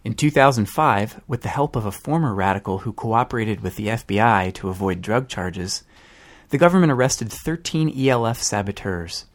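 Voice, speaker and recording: A man's voice: lowish voice, animated, moderate pace. A man delivering scripted narration as a podcast host.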